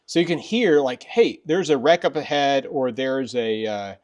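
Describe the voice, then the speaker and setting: Male host, middle-aged, medium pitch. Conversational, informal review delivery that circles back over phrases. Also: normal speed, a little mellow. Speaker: video host. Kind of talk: casual product review chat.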